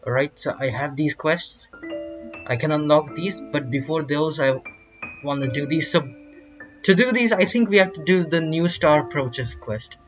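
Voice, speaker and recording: A man's voice: fairly high pitch, moderate pace, over music. A man giving casual gameplay commentary as a gaming video host, on a lo-fi recording.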